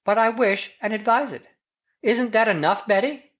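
A woman's voice: on the high side, moderate pace, voice pushed up, natural voice. A woman voicing an earnest, lovelorn older man in a storybook romance, solemn and impassioned. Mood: solemn, pained. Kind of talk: audiobook character dialogue.

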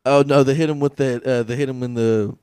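A man's voice: mid-range voice, fairly even intonation, a little fast, a little mellow, smooth, no room sound. Laughing young man on a comedy podcast. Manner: joking, laughs often, swears. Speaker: podcast co-host. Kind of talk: crude comedic banter.

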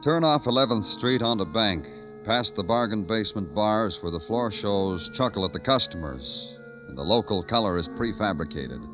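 A man plays a world-weary cop in a classic radio crime drama. His voice is fairly low, with a clipped, dry, probing delivery. The old recording is muffled, with music around it. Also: animated, moderate pace, lightly acted. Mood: brooding. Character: terse, world-weary police detective who narrates. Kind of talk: 1940s-50s radio crime drama acting and narration.

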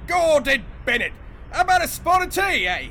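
A man voicing a jolly Cockney mate who exclaims loudly and banters in rhyming slang, with a Cockney accent. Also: very high-pitched, big pitch swings, normal speed, slight background noise, full character voice. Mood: hearty.

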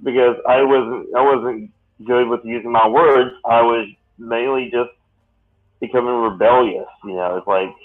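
A man in his late thirties hosting a casual podcast chat, talking in a rambling, halting way with many restarts. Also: mid-range voice, fairly even intonation. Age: late 30s. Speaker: podcast host. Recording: tinny phone-like line.

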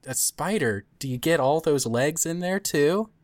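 A woman's voice: low voice, expressive, a little slow, no room sound. Rambling, playful woman, dry close sound. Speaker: podcast cast member. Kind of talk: casual chat.

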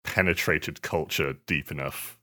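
Halting man with a very deep, bright voice. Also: fairly even intonation. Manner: halting, hesitant delivery with stammered repetitions. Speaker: podcast co-host. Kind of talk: casual chat.

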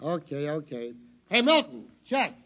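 An actor playing a brusque, unhurried barkeep in a seedy bar, in old-time radio drama style. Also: male voice, fairly high pitch, wildly swooping pitch, bright, forceful tone, full character voice. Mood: offhand.

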